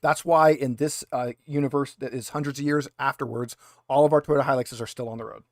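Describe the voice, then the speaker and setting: A man narrating a tabletop roleplaying game as game master, casual and explanatory, repeating himself haltingly. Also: mid-range voice, steady tone, dry recording, clean studio sound.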